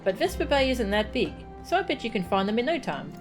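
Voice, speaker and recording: A woman voicing an eager, curious young boy from a video game, chatty and excitable. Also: on the high side, fairly quick, over music, pitched above the performer's own voice.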